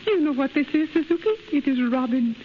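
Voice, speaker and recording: High, tender young wife. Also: female voice, animated, fast talker. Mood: wistful delight. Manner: earnest, simple broken English. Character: young Japanese wife, tender, faithful, stubbornly hopeful. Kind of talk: 1930s radio acting. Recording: muffled hissy 1930s radio broadcast.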